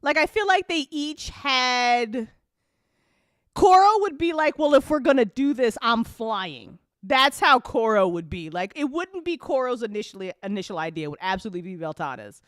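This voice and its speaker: A woman chatting casually and unscripted on an after-show, telling stories in a rambling, animated way with slangy swearing. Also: fairly high pitch, normal speed, dry recording, clean studio sound. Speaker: audio drama creator chatting on a live after-show.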